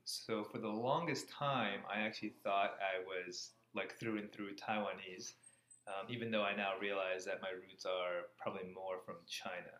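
A man's voice: on the low side, steady tone, moderate pace, a bit bright. An American man in his thirties, answering interview questions in a casual way. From Florida, USA. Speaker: interview guest, cardiology fellow. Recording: echoey room, faint background noise.

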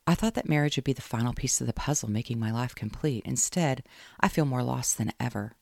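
Subdued, searching woman novelist, voiced by the narrator, gravelly, close and dry. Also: medium pitch, a little fast, a little mellow, no echo, close to an everyday voice. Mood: weary. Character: lost, unsure woman writer.

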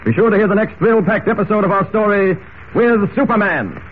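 A man's voice: sits high, brisk, lightly acted. A man playing a dramatic, urgent announcer, narrating a 1940s radio serial. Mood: suspenseful. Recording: tinny, hissy old radio recording.

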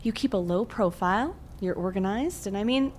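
A woman's voice: mid-range voice, normal speed, a little mellow, a little hiss or hum, close to an everyday voice. An actress playing a young woman in an audio drama who teasingly poses as a casual killer. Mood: smug, casual threat.

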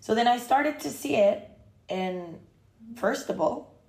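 A woman from Costa Rica, a podcast guest, chatting casually and pausing often to find her words. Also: fairly high pitch, animated, gravelly, a little mellow. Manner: hesitant, many fillers and restarts, searching for words. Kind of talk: casual chat. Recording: roomy echo.

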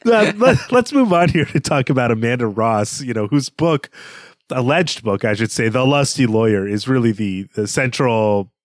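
A man's voice: mid-range voice, lively intonation, moderate pace, dry recording, studio quality. A man co-hosting a podcast, discussing books in a conversational, analytical way and chuckling as he talks. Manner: analytical, chuckles while talking. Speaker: podcast co-host.

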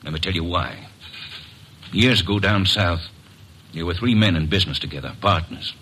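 An actor playing a burly, vengeful man who speaks bluntly and gruffly in a 1940s radio drama. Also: deep voice, moderate pace, pushed and bright, a little hiss or hum. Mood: grim, insistent. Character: big burly bearded man, grim and vengeful.

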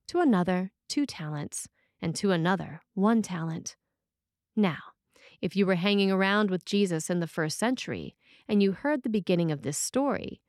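A woman reads a prepared sermon in the role of a priest. Her voice sits at a middle pitch, and she phrases deliberately, pausing between thoughts. The sound is dry, with very little room around it. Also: a little mellow, no room sound. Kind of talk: scripted sermon, read aloud.

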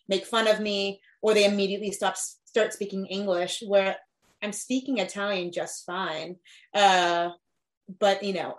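A woman's voice: mid-range voice, rough recording. A woman in casual conversation, speaking in a reflective, loose way with frequent fillers. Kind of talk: casual discussion of language learning.

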